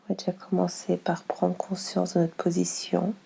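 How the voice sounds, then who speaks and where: A woman leading a guided yoga nidra relaxation, speaking slowly and calmly in a soft, breathy voice with long pauses. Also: lowish voice. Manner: slow, calm, soothing instruction with long pauses.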